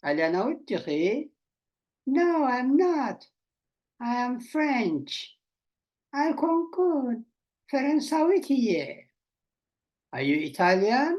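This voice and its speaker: A woman teaching a beginner language lesson, reading short sentences clearly and deliberately, one by one. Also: sits high, very animated, darkish tone. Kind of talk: slow drill-style language lesson.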